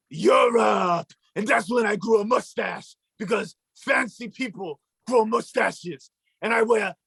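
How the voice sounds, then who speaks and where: A man voicing a boastful mustached male puppet who shouts and brags like a cartoon villain, with a gravelly, husky voice. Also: high-pitched, no echo, exaggerated voice. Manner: shouting, bragging, mock-villainous. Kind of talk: comedic puppet bit on a talk show.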